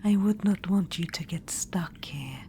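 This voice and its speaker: A woman voicing a regal undead witch-queen in an ASMR roleplay, speaking in a soft whisper and calling the listener darling. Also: fairly low pitch, measured pace, slight background noise. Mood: coaxing. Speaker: ASMR performer.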